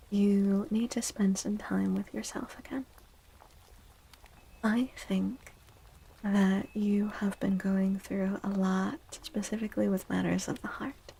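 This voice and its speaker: Breathy, whispering slow-paced woman. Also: mid-range voice, rough recording. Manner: slow, gentle, soothing, deliberate with long pauses. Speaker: ASMR tarot reader. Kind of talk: soft-spoken ASMR tarot reading, much of it whispered.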